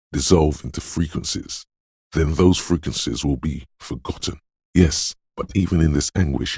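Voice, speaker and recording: A man voicing a detective's brooding, mystical intuition in a video game, with a deep, gravelly voice and slow, ominous, dreamlike delivery. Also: no room sound, studio quality, full character voice. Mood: somber, haunting. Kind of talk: scripted video game narration in second person.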